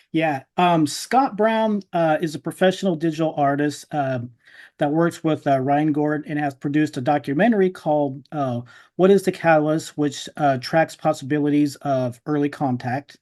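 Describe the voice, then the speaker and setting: Casual male podcast host, slightly high voice. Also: steady tone, unhurried, darkish tone. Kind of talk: casual podcast interview chat.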